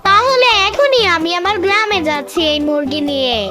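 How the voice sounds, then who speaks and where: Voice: very high-pitched, very animated, normal speed, over loud music. A performer voicing a young, earnest village boy in a children's cartoon, plaintive and easily delighted.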